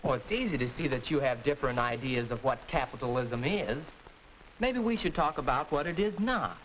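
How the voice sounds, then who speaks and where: A young man playing a reasonable, articulate high-school boy in a 1940s educational film, speaking didactically. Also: expressive, moderate pace, natural voice. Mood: didactic. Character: reasonable, articulate teenage boy moderating a debate. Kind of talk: 1940s educational film acting, scripted discussion. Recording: muffled, noisy 1940s film soundtrack.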